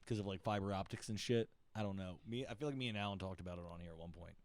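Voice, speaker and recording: A man's voice: low voice, very fast, no echo. A young man in a casual podcast chat, crude and joking, swearing freely.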